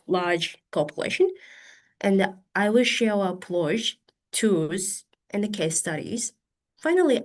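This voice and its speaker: A Japanese woman in her thirties speaking English as a second language, giving a conference talk over a video call, careful and halting with many fillers, a little stuffy from hay fever. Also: medium pitch, a little mellow. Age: 30s.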